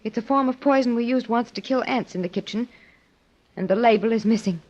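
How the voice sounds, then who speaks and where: An actress playing a concerned, earnest widowed aunt in an old-time radio mystery, speaking properly. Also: female voice, fairly high pitch, brisk, a little mellow, natural voice. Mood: earnest explaining. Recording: dull old radio recording.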